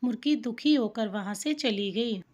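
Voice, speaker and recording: A woman's voice: fairly high pitch, measured pace, darkish tone, dull audio. A woman narrating a children's folk tale as a scripted story.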